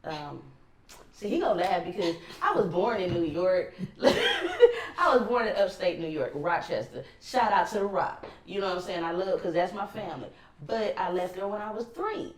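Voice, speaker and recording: Co-host, a woman with a Los Angeles accent, deep for a woman, unhurried and filler-heavy, roomy sound. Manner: relaxed, rambling with many ums. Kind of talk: casual chat.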